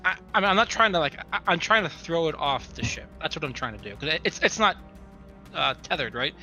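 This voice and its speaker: Bright, higher-voiced man at a game table. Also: lively intonation, quick pace. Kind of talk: casual game-table talk. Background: music.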